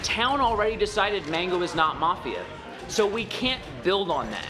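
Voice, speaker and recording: A man's voice: sits high, very animated, moderate pace, over music. Insistent man lecturing at length. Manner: insistent, lecturing, self-assured. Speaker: visiting guest. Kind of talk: animated game argument.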